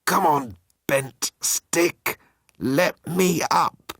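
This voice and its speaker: A man's voice: a little high, very slow, vocal fry, a bit bright, no echo, studio quality, pitched above the performer's own voice, exaggerated voice. A man voicing a cocky, flippant male alien rogue with a breezy, teasing manner in a comic sci-fi audiobook. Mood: cheeky, strained.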